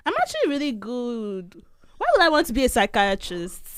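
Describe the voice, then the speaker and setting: A woman whose first language is Igbo co-hosts a casual podcast chat. She jokes with easy self-assurance and laughs often. Her voice sits a touch high and moves animatedly up and down. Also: big pitch swings, fairly quick, smooth, no echo. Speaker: podcast co-host.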